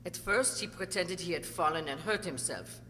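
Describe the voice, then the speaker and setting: A woman playing a cold, accusing witness in an amateur radio courtroom play, dramatic and deliberate, in a reverberant hall. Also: fairly low pitch, pushed and bright. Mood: accusing, grave. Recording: reverberant hall, faint noise and hum.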